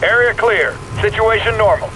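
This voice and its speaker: A man's voice: high voice, moderate pace, noisy background, lightly acted. A man playing a tough army sergeant in a video game, with clipped, gung-ho military talk. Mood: gung-ho, determined. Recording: loud music and battle sounds behind.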